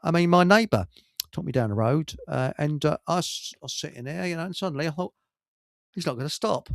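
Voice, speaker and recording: A man's voice: a little high, fairly quick, vocal fry, no echo, clean studio sound. An older man, chatting casually as a radio host and chuckling through rambling anecdotes. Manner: jovial, chuckles through his stories.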